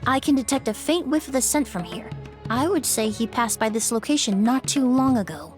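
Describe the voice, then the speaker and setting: A woman voicing a calm, composed perfumer. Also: on the high side, over music, lightly acted. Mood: reassuring. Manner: measured, reassuring, precise. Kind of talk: game cutscene dialogue.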